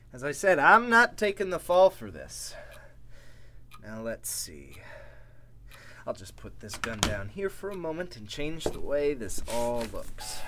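Creepy polite killer congressman, radio play, slow muttering, pitch all over the place. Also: heavily acted. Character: cold, scheming murderous congressman Alan, outwardly apologetic. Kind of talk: old-time radio drama acting.

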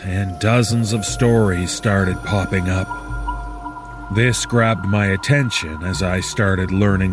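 A man delivering scripted horror narration for a storytelling podcast. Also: on the low side. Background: music.

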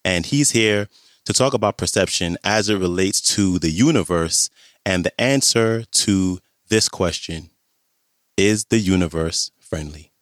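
Podcast host, man, very low and hoarse, relaxed chat, dry sound. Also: unhurried, no echo. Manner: relaxed, chatty, friendly greetings. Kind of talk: podcast introduction.

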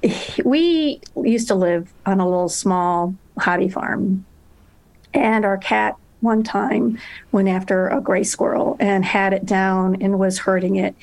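A woman's voice: mid-range voice, slow pace, vocal fry, a little mellow. A woman guest telling a story in casual chat, laughing often as she goes.

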